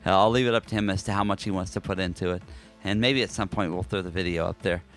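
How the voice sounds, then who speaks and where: A man's voice: low voice, steady tone, fast talker, fairly bright tone, faint music behind. An American man co-hosting a podcast, talking casually in an informal, musing way. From United States. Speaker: podcast co-host. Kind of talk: casual conversational podcast talk.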